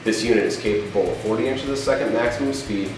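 A man's voice: lowish voice, quick pace. A middle-aged man giving a product presentation and demonstration as a company presenter. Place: indoor workshop showroom. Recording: roomy echo, faint music behind.

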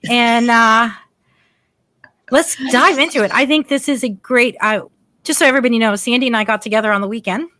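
A middle-aged woman hosts a casual online chat from home. Her voice is bright and a little high, picked up close by a desk mic with a dry sound. She talks chattily and agrees often. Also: fairly quick, no room sound. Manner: chatty, warm affirmations, reads scripture aloud. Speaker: podcast host. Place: home room, indoors. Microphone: desk mic on video call.

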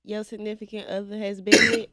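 A woman's voice: mid-range voice, monotone, a little fast, pushed and bright. A woman, a guest talking bluntly and challengingly in casual chat with men in a small studio. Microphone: boom-arm studio mic.

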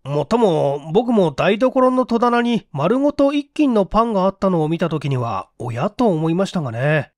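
Guy doing a polite puzzled apartment manager in an old mystery play, very animated pitch, dry sound. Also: normal speed, few pauses, no room sound. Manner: courteous, inquisitive, easily surprised. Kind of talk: audio drama reading of a vintage mystery.

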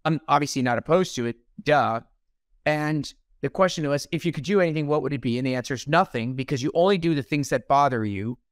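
A man's voice: a little high, fast, no room sound, studio quality. A middle-aged man, a plastic surgeon, talking bluntly and jokingly on a video call. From Iran. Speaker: plastic surgeon, podcast host. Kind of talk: casual interview chat. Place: home room on a video call.

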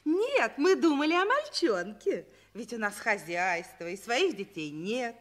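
Tender-hearted farm wife for vintage radio play, high voice, very animated, homely. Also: female voice, unhurried. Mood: sympathetic. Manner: compassionate, homely. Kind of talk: 1960s radio drama acting.

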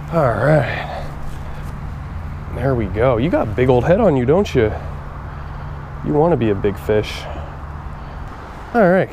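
A young man talking casually to his viewers while he fishes at a pond, recorded on an action camera. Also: mid-range voice. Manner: chatty, talks to viewers while fishing. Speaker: fishing vlogger. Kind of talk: casual outdoor commentary. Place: outdoors at a pond. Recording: outdoor noise from a body camera.

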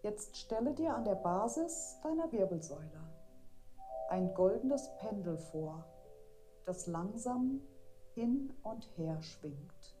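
A woman guiding a meditation in a gentle, deliberate, soothing manner. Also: medium pitch, big pitch swings. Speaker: meditation guide. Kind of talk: guided meditation narration. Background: meditation music.

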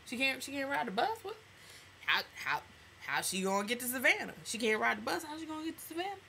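Sassy, bright-voiced young American woman. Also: high-pitched, quick pace, vocal fry, a little hiss or hum. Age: young adult. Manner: animated, sassy, slangy, acts out reactions. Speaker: vlogger. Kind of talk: casual vlog storytelling. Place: small indoor room at home.

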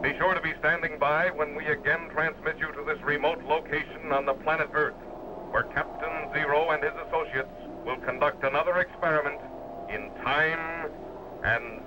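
A man's voice: a little high, fairly even intonation, moderate pace. A man as a 1950s television announcer, reading scripted narration in a formal, portentous way. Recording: old TV soundtrack, very loud noise.

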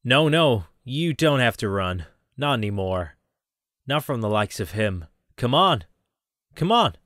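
A man voicing a gentle, reassuring adult reporter in a fan audio drama. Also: medium pitch, very animated, measured pace, dry recording, clean studio sound. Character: calm kindly adult male reporter.

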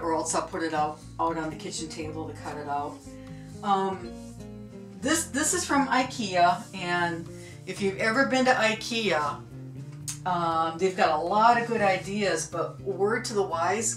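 Easygoing woman in her 50s, slightly low voice, roomy home sound with music under it. Chatty tour host. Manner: chatty, easygoing, many ums and you-knows. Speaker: vlog co-host showing her sewing room. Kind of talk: casual home tour chat. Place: small home sewing room, indoors.

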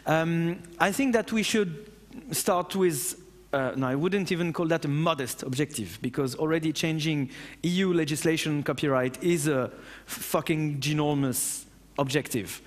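A man's voice: fairly high pitch, moderate pace, in a large hall. A man in his thirties with a French accent, answering audience questions on stage after a talk, passionate and blunt. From France. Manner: passionate, blunt, with casual swearing. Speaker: conference speaker. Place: large dark auditorium on stage.

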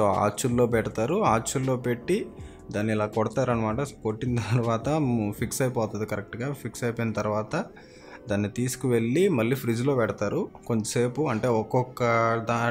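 A man's voice: medium pitch, a little fast, soft background music. A young man vlogging a factory tour, explaining each step to his viewers in a friendly way, recorded indoors in a small workshop. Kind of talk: casual vlog narration.

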